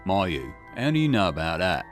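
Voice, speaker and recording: A man's voice: deep voice, sing-song, normal speed, faint music behind, voice pushed down. A man voicing a mild, dopey young boyfriend in a video game, sheepish and easygoing.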